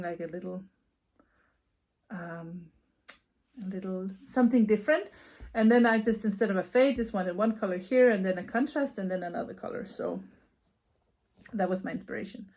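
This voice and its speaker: A Danish woman with a Danish accent, answering viewer questions in a casual knitting vlog at home, chatty with soft laughs and repeated words. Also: medium pitch, moderate pace, long pauses. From Denmark. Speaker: knitting vlogger and yarn dyer. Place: her home.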